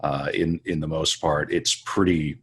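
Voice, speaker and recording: A man's voice: rumbling low, fairly even intonation, unhurried, breathy edge. A man from western North Dakota hosting a podcast, musing in a reflective, rambling way. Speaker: podcast host. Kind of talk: casual conversation.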